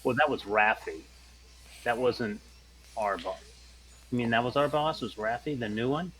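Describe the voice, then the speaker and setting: A man's voice: mid-range voice, normal speed, a bit bright, low-quality recording. A man in casual discussion as a player in a tabletop role-playing game.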